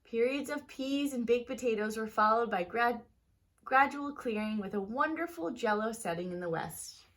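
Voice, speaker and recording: A woman with a fairly high voice reads a picture book to children. Her delivery is expressive and teacherly, leaning on key words and drawing some of them out for young listeners. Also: normal speed. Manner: talks directly to young listeners. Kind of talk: expressive children's storybook read-aloud.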